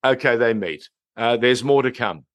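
A man's voice: mid-range voice, moderate pace, a bit bright, no echo. A middle-aged or older man, hosting a conversational review of TV series and repeating phrases for emphasis.

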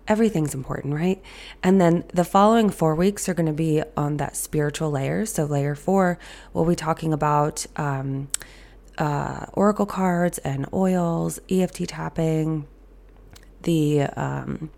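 A woman hosts her podcast in a loose, chatty way, talking on with plenty of ums and restarts. Her voice is low, raspy and gravelly with fry, hoarse after being ill. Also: unhurried, warm tone. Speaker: podcast host. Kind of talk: casual solo podcast talk.

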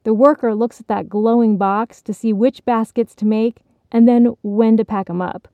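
A woman's voice: on the high side, steady tone, moderate pace, no room sound, narrow, tinny audio, lightly acted. A woman voicing a bitter, long-shelved male soap gift basket in a story, curt and world-weary.

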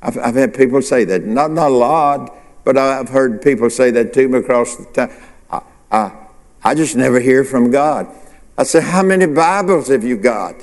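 A man's voice: medium pitch, moderate pace, long reverb tail. A male pastor preaching a sermon, asking the congregation questions and answering them himself. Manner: preacherly call-and-response, rhetorical questions.

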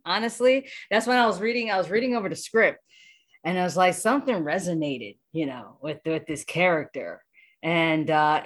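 Low-voiced woman chatting as a guest. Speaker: voice actor guest. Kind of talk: casual interview chat.

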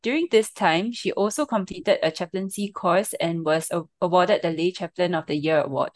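Woman, podcast co-host, formal reading, low voice, dry sound. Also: moderate pace, no room sound. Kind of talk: reading a guest introduction.

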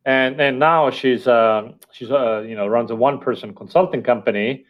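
A man's voice: mid-range voice, normal speed, muffled recording. A man whose first language is Estonian, a podcast guest speaking thoughtfully with fillers and restarts. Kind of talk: casual interview talk.

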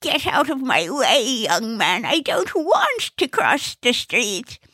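A woman's voice: on the high side, wildly swooping pitch, slow pace, pushed and bright, slightly rough, no room sound, studio quality, pitched above the performer's own voice, full character voice. A woman voicing a crabby, curt elderly lady who scolds, in an audiobook. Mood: irritated. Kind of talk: audiobook character voice.

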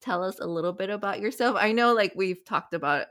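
A woman's voice: mid-range voice, brisk, fairly bright tone. A woman hosting a podcast interview in an informal, friendly, chatty way. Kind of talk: casual chat interview.

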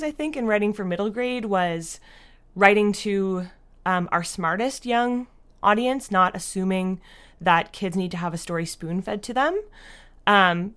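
A woman's voice: mid-range voice, fairly bright tone. A woman talking casually as an interview guest, explaining things reflectively and often dropping in "like" as she goes. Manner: reflective, explanatory, frequent "like" as a verbal habit. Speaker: interview guest, audio drama writer.

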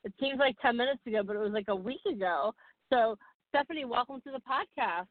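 A woman hosting a podcast interview in an excited, gushing manner. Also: on the high side, brisk, dry recording. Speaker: podcast host. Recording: muffled phone-line sound.

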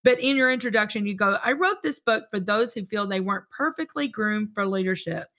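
Enthusiastic woman host, bright voice. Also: mid-range voice, a little fast. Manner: enthusiastic, encouraging. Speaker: podcast host. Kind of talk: casual interview talk.

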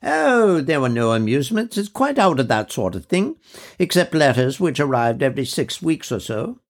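A man's voice: mid-range voice, sing-song, moderate pace, voice pushed up. A man doing a chatty old widow. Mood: reminiscing. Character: elderly officer's widow, chatty and cheerful.

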